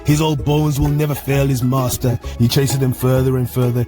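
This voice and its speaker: A man's voice: medium pitch, level tone, moderate pace, over music. A man narrating a comic bedtime story as a dad, with theatrical, mock-heroic emphasis.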